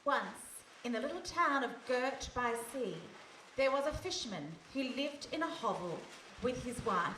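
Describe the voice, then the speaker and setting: A woman's voice: sits high, expressive, normal speed, bright, forceful tone. A woman playing the narrator of a fairy tale, reading scripted storybook narration in a live radio play. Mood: storytelling. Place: hall stage before a live audience. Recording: echoey hall from a distance, faint noise.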